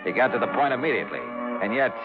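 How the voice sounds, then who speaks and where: An actor playing a breezy, deadpan, skeptical insurance investigator in a 1950s radio drama. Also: male voice, medium pitch, fast talker, narrow, tinny audio, over music. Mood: wry. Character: wry, businesslike hardboiled male freelance insurance investigator. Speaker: series lead and narrator.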